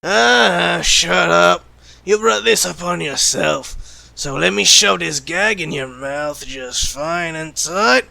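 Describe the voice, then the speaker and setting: Man acting a menacing, gravelly secret-agent interrogator. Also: fairly high pitch, takes it slowly, pushed and bright, no room sound, heavily acted. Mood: mock-regretful threats. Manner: menacing, sardonic, condescending. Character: gruff bloodthirsty veteran male secret-agent interrogator, bad cop. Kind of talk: ASMR roleplay audio drama.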